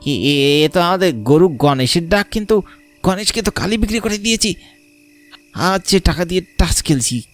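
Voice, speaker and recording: A man voicing a brash young village cattle thief in a horror cartoon, blustering in rough slang but cowardly underneath. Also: high-pitched, lively intonation, normal speed, a bit bright, soft background music, pitched above the performer's own voice. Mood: bewildered.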